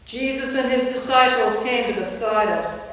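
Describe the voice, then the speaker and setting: A woman's voice: fairly high pitch, normal speed, a bit bright, natural voice. A woman giving scripted narration for a staged scripture reading in a church. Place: inside a large church. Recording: distant, very echoey church hall.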